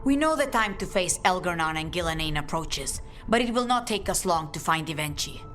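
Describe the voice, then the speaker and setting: Low, solemn guild leader. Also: female voice, animated, brisk, a bit bright, faint music behind. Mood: solemn resolve. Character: resolute, solemn female leader of an assassin guild. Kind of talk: video game voice acting.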